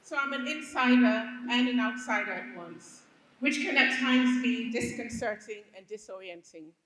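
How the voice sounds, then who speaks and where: A woman's voice: a little high, normal speed, fairly bright tone. A woman from Liberia telling a true story to a live audience, reflective and earnest. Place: stage before a live audience. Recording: long hall reverb on stage.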